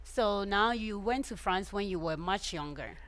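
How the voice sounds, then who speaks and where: A woman's voice: mid-range voice, lively intonation, a little slow, a bit bright. Woman interviewer asking short questions. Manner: brief acknowledgments, recaps answers.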